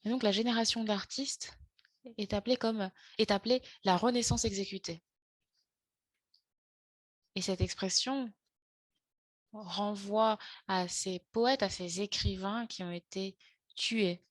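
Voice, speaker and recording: A woman presenting in a webinar over a video call, speaking haltingly with frequent fillers and self-corrections. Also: medium pitch, steady tone, no room sound. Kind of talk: spoken lecture in a webinar.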